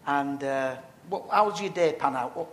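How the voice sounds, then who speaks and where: A man's voice: a little high, a bit bright, slight background noise. Teasing male interviewer. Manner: friendly, teasing, halting questions. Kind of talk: casual interview questions.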